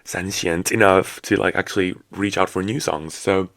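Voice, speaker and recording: Casting: man, 20s, very low hoarse rasp, chatty rambling solo podcaster with sassy asides, close dry sound. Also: steady tone, moderate pace, a bit bright, no echo. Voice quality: low and raspy. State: hoarse after a night out. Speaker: podcast host. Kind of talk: casual solo podcast chat.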